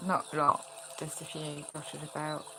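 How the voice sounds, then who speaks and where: A woman's voice: low voice, monotone, moderate pace, vocal fry, background noise. A woman chatting casually in a rambling vlog, congested and losing her voice, filmed on a phone a friend holds at home. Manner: chatty, rambling, with sighs and tag questions. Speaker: vlogger. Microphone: phone held by a friend.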